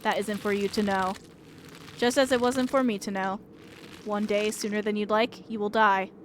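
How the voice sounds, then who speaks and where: A woman's voice: mid-range voice, steady tone, moderate pace, a little hiss or hum, lightly acted. A woman voicing a vengeful, mournful male ghost in a video game, speaking in grave, accusing pronouncements. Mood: cold, menacing. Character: vengeful, mournful male ghost demanding repayment of stolen years.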